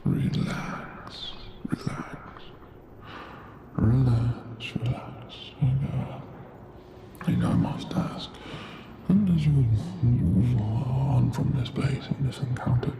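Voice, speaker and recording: A man acts out Death as a gentle, bashful hospital healer in an ASMR roleplay. The voice is a crackly, breathy half-whisper, very slow, hesitant and soothing, with formal politeness. Clear background noise sits behind it. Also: medium pitch, very animated, heavily acted. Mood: sheepish.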